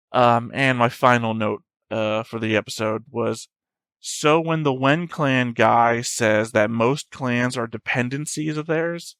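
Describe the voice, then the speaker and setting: A man's voice: mid-range voice, fairly bright tone, no echo, studio quality. A man hosting a reaction video, talking casually and rambling as he thinks aloud. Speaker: host of an anime reaction channel.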